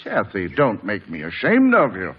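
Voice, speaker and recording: A man's voice: lowish voice, huge pitch swings, moderate pace, breathy edge, heavily acted. A kindly father laying down the law in an old radio drama. His voice moves widely up and down, and the broadcast sound is dull and lo-fi. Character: kindly, firm father taking in an orphan boy. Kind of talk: 1940s radio drama acting. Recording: muffled, dated radio broadcast.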